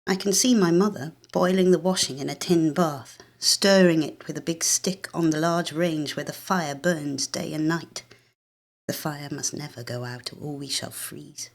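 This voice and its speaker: A British actress narrates literary fiction in the first person. Her voice sits low, and she tells the story with measured, expressive delivery and a sense of drama. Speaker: actress reading a short story.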